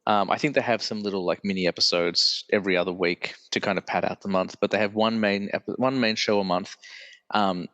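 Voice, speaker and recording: Nasal-sounding man with a low voice. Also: fairly even intonation, brisk, a bit bright, in a large hall, muffled recording. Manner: rambling, self-correcting. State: congested from illness. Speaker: podcast host. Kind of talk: casual solo podcast chat.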